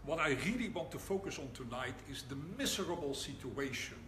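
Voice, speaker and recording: An older man with a Dutch accent gives a public lecture as a rabbi, speaking in a wry, deliberate way and pausing for the audience's laughter. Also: a little high, expressive, fairly bright tone. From Netherlands. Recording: echoey hall, audible room noise.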